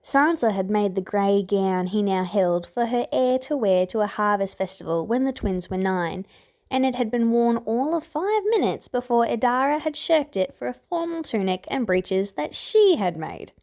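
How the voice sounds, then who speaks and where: A woman's voice: fairly high pitch, expressive, moderate pace, a little mellow. A woman reading a scripted story aloud as its narrator. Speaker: podfic author and narrator. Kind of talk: scripted fan fiction narration.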